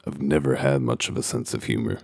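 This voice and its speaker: Man acting a very deep, gravelly wolf detective. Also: brisk, dry recording, studio quality, full character voice. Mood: dry, blunt. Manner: deadpan, blunt. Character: gruff male wolf detective, cynical and humorless. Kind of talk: dramatic comic dub acting.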